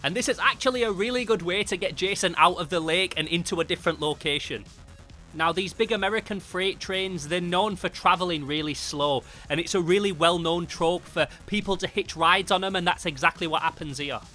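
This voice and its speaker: A man's voice: sits high, fairly quick, sharp tone. A British man narrating a comic review in an informal, slangy, sweary and emphatic way. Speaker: host of a comic book review channel. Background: music.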